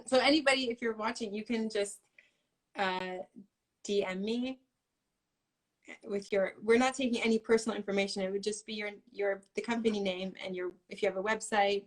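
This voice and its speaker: A woman's voice: mid-range voice, fairly even intonation, moderate pace, low-quality recording. A woman guest in a casual interview, talking in a rambling way with frequent laughs. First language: English. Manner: rambling, many fillers and restarts, laughs often.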